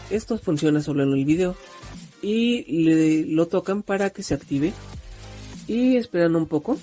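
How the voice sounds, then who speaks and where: A man's voice: on the high side, animated, normal speed, mellow, soft background music. A man with a Mexican accent giving an informal step-by-step app tutorial, talking directly to his viewers in a conversational way. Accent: Mexican Spanish. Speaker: tutorial host.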